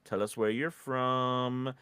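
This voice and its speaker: A man's voice: medium pitch, steady tone, slow, pushed and bright, clear, resonant tone, no room sound. A man co-hosting a casual livestream chat, speaking in an informal, enthusiastic way. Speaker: host.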